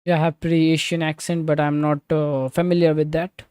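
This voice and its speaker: A man from Switzerland giving live crypto market commentary and answering chat, halting with many fillers, restarts and loose grammar. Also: a little high, little pitch movement, a little mellow, clean tone, dry recording, clean studio sound. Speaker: crypto trading livestreamer.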